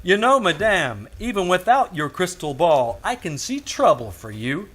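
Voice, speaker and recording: An actor playing a tough, wry private detective in an old radio crime drama, sardonic in dialogue and narration. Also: male voice, high voice, very animated, normal speed, fairly bright tone, heavily acted. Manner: sardonic, hard-boiled. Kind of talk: 1940s-50s radio detective drama acting.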